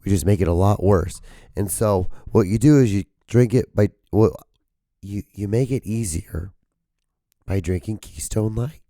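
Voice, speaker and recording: A man hosting his own podcast with a hoarse voice that cracks and gives out. He rambles casually, swears often, and holds back his volume to spare his throat. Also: lowish voice, unhurried, mellow, dry recording, clean studio sound. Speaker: podcast host.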